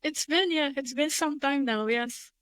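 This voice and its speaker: A woman from Bulgaria, a real estate investor, answering interview questions in a measured, thoughtful way with frequent restarts. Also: high voice, fairly bright tone, no room sound. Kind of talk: interview conversation.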